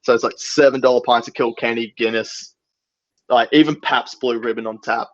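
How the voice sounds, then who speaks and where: A man's voice: mid-range voice, fairly even intonation, moderate pace, no echo. Australian man, slangy, slightly muffled sound. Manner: slangy, sweary. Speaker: band member and podcast guest. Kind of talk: casual banter.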